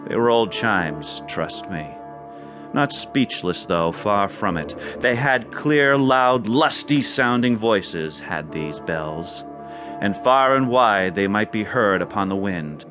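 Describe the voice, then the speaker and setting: Low-pitched male storyteller over loud music. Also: animated. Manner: theatrical, measured storytelling. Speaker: narrator. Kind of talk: dramatized storybook narration. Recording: loud music behind narration.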